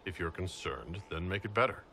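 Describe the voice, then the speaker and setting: Fantasy game leader, lowish lively voice, direct and probing, some noise behind. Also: male voice, brisk, exaggerated voice. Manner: direct, questioning. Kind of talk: dramatic video game dialogue.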